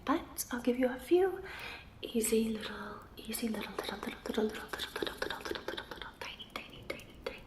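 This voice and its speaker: A woman's voice: on the high side, reverberant, natural voice. A woman voicing a playful, teasing ghost who poses riddles in an ASMR roleplay, repeating words over and over in a coaxing way. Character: playful, teasing female ghost guarding a secret passage with riddles.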